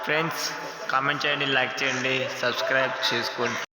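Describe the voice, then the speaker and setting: A man's voice: mid-range voice, fairly even intonation, quick pace, loud music behind the voice. A young man addressing viewers as the host of a prank video, in an echoey, noisy recording. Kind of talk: video intro and outro to viewers. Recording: echoey, reverberant, clearly noisy.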